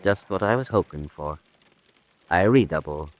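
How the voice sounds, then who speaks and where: A man's voice: low voice, animated, normal speed, no echo. An actor playing a confident young man, the breezy and cocky son of the house, in an old-time radio drama. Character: brash, confident grown son at card game. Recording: background noise behind the voice.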